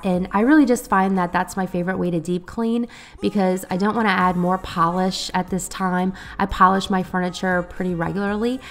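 A woman in her thirties narrating her cleaning vlog in a friendly, upbeat way while getting over a cold. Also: lowish voice. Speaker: cleaning vlogger.

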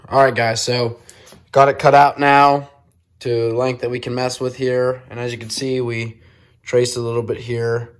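A young man casually talking through a woodworking project in a shed, relaxed and full of fillers, recorded on a handheld phone. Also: medium pitch, moderate pace. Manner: relaxed, filler-heavy explaining. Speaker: DIY video co-host. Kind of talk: casual how-to narration. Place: cold wood shop shed.

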